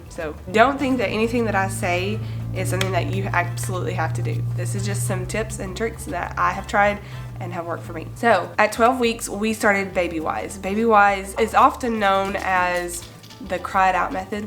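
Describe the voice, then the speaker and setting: Woman, 20s, home vlogger with a cold; nasal and slightly raspy, casual and chatty, faint music bed. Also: mid-range voice. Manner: chatty, gestures with hands while explaining. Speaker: mom vlogger. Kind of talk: casual parenting vlog to camera. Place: bright living room indoors.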